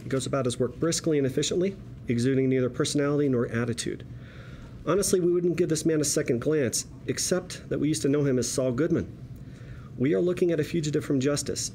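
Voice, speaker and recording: A man's voice: medium pitch, warm tone. A man reading screenplay directions aloud as narration at a table read. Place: room with a group at a table read. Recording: noisy room ambience.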